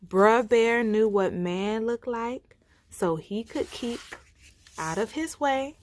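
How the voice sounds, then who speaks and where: A woman reading folktales aloud for children as a storyteller, with deliberate, theatrical phrasing. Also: fairly high pitch, dry recording, clean studio sound. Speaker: storytime reader.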